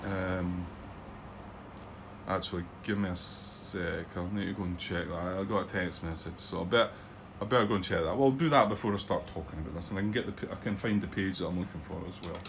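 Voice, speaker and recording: A middle-aged Scottish man with a somewhat low voice chats through his board wargame thoughts. He rambles and hesitates, full of ums and false starts, with a soft background hiss. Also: a little fast, creaky. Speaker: board wargame channel host. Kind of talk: casual commentary on a solo board game playthrough.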